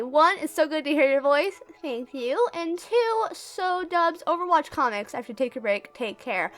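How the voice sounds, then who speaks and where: High-voiced, giggly woman chatting casually over music. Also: fairly bright tone. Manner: giggly, rambling, self-deprecating. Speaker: YouTube creator and amateur comic dub voice actor. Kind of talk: casual chatty Q&A, reading out questions and answering. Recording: music playing behind the voice. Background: background music.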